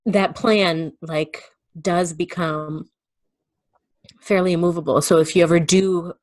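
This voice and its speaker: A woman's voice: fairly low pitch, fairly even intonation, takes it slowly, no echo. A woman guest in a casual personal chat, speaking candidly and informally with emphatic turns of phrase.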